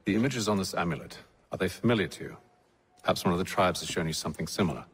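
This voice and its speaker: An actor playing a composed English gentleman in a video game, speaking formally and with measured authority. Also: low-pitched, expressive, breathy edge, full character voice. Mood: businesslike. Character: composed, commanding English gentleman leading armed men. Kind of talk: video game cutscene acting. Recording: loud background music.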